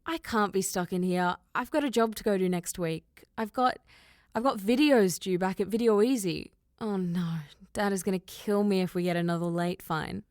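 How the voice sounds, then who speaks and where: A woman's voice: medium pitch, normal speed, darkish tone, no echo, clean studio sound, natural voice. A woman voicing a bewildered, exasperated teenage girl in an audiobook, flustered and incredulous in her delivery. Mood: dismayed. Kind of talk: audiobook character dialogue.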